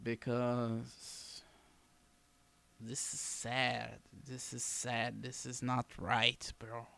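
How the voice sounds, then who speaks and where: A man's voice: medium pitch, little pitch movement, very slow, gravelly, sharp tone, slight background noise. A man giving casual let's-play commentary as a game streamer, reacting in muttered, repetitive remarks. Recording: game music behind voice. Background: video game music.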